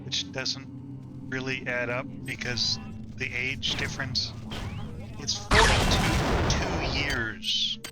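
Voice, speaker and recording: Loud music, male game streamer, average pitch, casual, halting, thinking aloud. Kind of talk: casual gameplay commentary.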